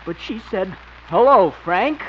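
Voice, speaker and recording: A man's voice: high-pitched, animated, a little slow. An actor playing a timid, gullible lovesick young man in a 1950s radio sitcom, talking anxiously. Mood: plaintive. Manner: anxious, plaintive. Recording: dull old radio transcription with hiss.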